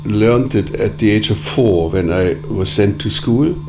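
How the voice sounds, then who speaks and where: A man whose first language is Sorbian, answering interview questions in a measured, deliberate way with frequent pauses. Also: fairly low pitch, noisy background. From Germany. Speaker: interviewee, editor of philosophical writings.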